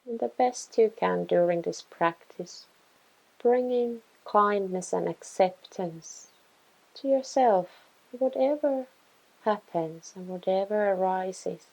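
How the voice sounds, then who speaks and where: A woman guiding a body scan meditation in a gentle, soothing, unhurried way, leaving pauses between phrases. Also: medium pitch, vocal fry, muffled recording. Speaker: meditation guide.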